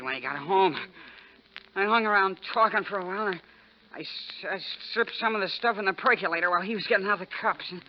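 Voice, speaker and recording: A muffled, hissy 1940s radio recording. A drunken young man acted by a man whines and stammers in a high voice. He is petulant, evasive and easily rattled, his speech loose with booze. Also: lightly acted. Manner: boozy, whining, stammering speech. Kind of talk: 1940s radio drama acting.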